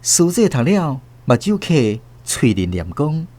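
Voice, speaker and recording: Animated Taiwanese male storyteller. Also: a little high, a little slow, dry recording. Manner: expressive, theatrical. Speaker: narrator. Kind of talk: dramatic scripted storytelling.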